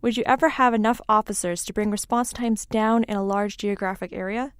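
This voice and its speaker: A woman's voice: mid-range voice, fairly even intonation, fairly quick, smooth, no room sound, studio quality. A woman reading scripted local news as a narrator. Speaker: news reader.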